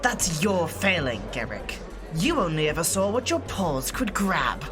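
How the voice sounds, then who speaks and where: A resolute exiled shifter, voiced by a woman, who speaks in measured, formal sentences. Her voice sits fairly low. Music runs behind her in this audio drama. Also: big pitch swings. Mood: stern. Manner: formal and measured, avoids contractions.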